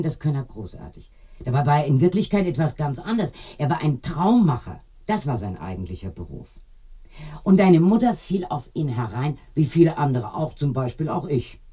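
Chatty old village woman in a radio drama, husky n mellow, very lively pitch, keeps wandering off in her stories. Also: heavily acted. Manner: garrulous, digressing storyteller. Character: talkative older village woman, reminiscing and confiding. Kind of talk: German radio play acting.

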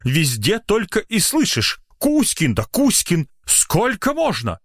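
Guy doing a worked up doctor in an audio story, officious n blustering, voice goes high and jumps around. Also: sing-song, moderate pace, no room sound, studio quality. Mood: indignant. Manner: officious, blustering, self-justifying. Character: indignant, flustered male doctor, quick to outraged shouting.

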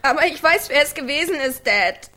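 A woman's voice: high voice, lively intonation, normal speed, pushed and bright. An actress playing a troubled, needy teenage girl in a German radio drama, naive and intense. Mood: confessing, tense.

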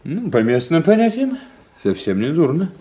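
An actor playing a dry, teasing army doctor in a Russian radio drama. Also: male voice, on the high side, sing-song, moderate pace, mellow. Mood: dryly teasing.

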